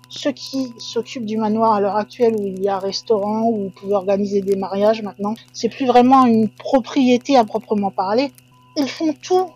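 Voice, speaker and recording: Chatty woman with a husky voice. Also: fairly high pitch, darkish tone, rough recording. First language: French. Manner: chatty, hedging asides to viewers. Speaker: channel host. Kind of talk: casual storytelling narration.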